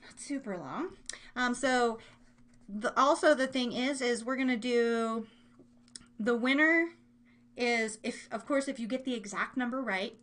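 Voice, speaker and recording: A woman's voice: fairly high pitch, a little slow, a bit bright. An American woman casually hosting a live online show, rambling along in a bubbly, informal way with her listeners. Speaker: host of a live online radio show and podcast.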